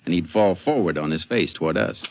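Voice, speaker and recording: An actor playing a calm, shrewd Texas Ranger in a 1950s western radio drama, terse and matter-of-fact. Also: male voice, sits low, brisk, vocal fry. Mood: calm, analytical. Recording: muffled, hissy old radio recording.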